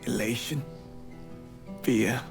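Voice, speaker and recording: An actor playing a somber, reflective man in a game's documentary-style interview, speaking deliberately. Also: medium pitch, over music. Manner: solemn, deliberate. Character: somber, reflective man recalling war's aftermath.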